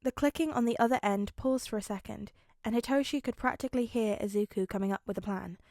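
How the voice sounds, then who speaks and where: A woman's voice: on the high side, fast, darkish tone, dry recording, studio quality. A woman reading a scripted story aloud as an audiobook narrator. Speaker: audiobook narrator of fan fiction.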